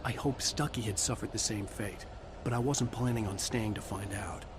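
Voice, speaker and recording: An actor playing a troubled novelist, narrating a thriller game in a measured, grim, introspective way. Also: male voice, fairly low pitch, background noise, lightly acted. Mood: grim, tense. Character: troubled male novelist narrating his ordeal, brooding. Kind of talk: scripted first-person thriller game narration. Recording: music behind the voice.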